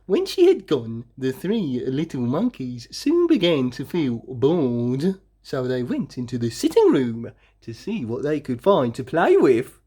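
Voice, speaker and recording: A woman reading a children's story aloud expressively, with dramatic emphasis and drawn-out words. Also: very deep voice, sing-song, dark tone, slightly rough. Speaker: storybook reader.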